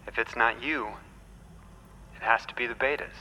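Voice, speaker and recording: A man's voice: medium pitch, fairly even intonation, moderate pace, bright, forceful tone, rough recording. A man in an audio drama playing a nervous, hesitant, nerdy tech support worker. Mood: anxious, insistent. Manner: hesitant, stammering. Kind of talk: naturalistic audio drama acting. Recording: faint ambient noise.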